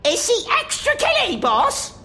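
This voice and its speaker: Squeaky little goblin underling. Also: male voice, huge pitch swings, measured pace, pushed and bright, exaggerated voice. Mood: eager. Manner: fawning, crude speech. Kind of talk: video game cutscene acting. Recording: echoey hall with background noise.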